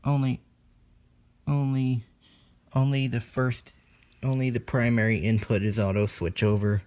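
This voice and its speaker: A man giving an unscripted hands-on technical demonstration, explaining matter-of-factly in halting short phrases, recorded on a phone. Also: medium pitch, little pitch movement, darkish tone. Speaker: hobbyist presenter of own electronics demo. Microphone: phone recording video.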